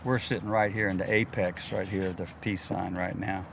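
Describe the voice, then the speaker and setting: A man's voice: deep voice, little pitch movement, fairly quick, creaky. A man in his sixties talking casually and earnestly, telling his story in a folksy way. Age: 60s. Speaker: interviewee, airport worker and pilot. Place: outdoors in a grassy wooded clearing. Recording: noisy outdoor field recording, faint vehicle hum.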